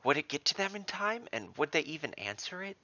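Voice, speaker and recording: Man voicing a hesitant adventurer, raised pitch. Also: sing-song, a little fast, bright, forceful tone, dull audio, full character voice. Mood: doubtful. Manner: hesitant, careful. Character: cautious, doubtful fantasy adventurer. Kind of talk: fantasy audio storybook character.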